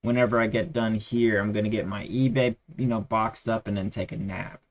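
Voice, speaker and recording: A man's voice: lowish voice, steady tone, a little fast. A tired man losing his voice, rambling with many fillers as he chats casually on a live trading stream.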